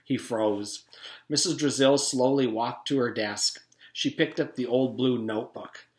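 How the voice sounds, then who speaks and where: A man's voice: mid-range voice, a little slow. A man, a teacher, reading a children's story aloud as scripted narration. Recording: echoey room.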